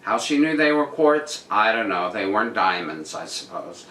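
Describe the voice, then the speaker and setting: A man reading articles aloud and commenting on them casually, with dry, deadpan asides. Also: fairly low pitch, normal speed. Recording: roomy echo with noticeable background noise.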